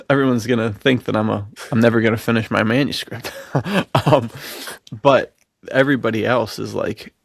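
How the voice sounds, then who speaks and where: Creaky-voiced man, slangy podcast chat. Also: medium pitch, normal speed, no room sound, studio quality. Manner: slangy, excitable bro talk. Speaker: podcast co-host. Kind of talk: casual, enthusiastic chat between friends.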